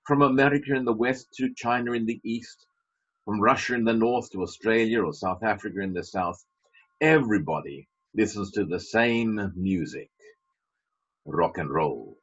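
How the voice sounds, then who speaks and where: A man's voice: fairly low pitch, normal speed, a bit bright, slightly rough. A man preaching a sermon as a pastor, emphatic, with wry asides. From Britain. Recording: dull lo-fi sermon recording.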